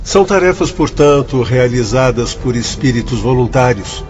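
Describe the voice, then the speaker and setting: A man's voice: mid-range voice, lively intonation, normal speed, lo-fi sound, close to an everyday voice. An actor playing an earnest spirit helper who declaims his lines in a radio drama, with music behind. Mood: declaiming.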